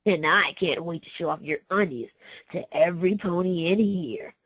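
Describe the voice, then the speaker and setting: A woman voicing a brash, teasing female unicorn pony, loud and cheeky with casual slang, in a fan fiction story reading. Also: on the low side, moderate pace, husky, dry recording. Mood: gloating.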